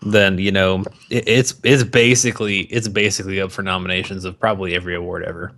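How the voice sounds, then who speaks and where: Low-pitched man, bluntly opinionated. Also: flat delivery, fast talker, fairly bright tone. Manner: profane, hyperbolic. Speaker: podcast co-host. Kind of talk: casual chat, opinionated banter.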